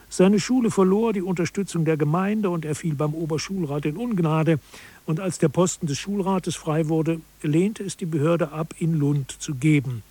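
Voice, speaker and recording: Higher-pitched husky man, measured narrator. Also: mellow. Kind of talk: scripted narration in a satirical radio feature.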